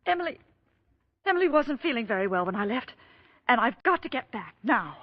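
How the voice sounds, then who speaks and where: An actress in an old-time radio drama playing a distressed, guilt-ridden woman with a halting, pleading manner. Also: fairly high pitch, big pitch swings. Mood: urgent, pleading. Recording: muffled old radio recording.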